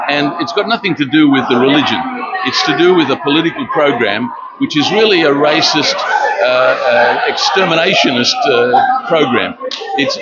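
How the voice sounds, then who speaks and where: An older man born in Romania, giving an earnest street interview at an outdoor protest, speaking haltingly with many fillers. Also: medium pitch. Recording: dull lo-fi with loud outdoor noise.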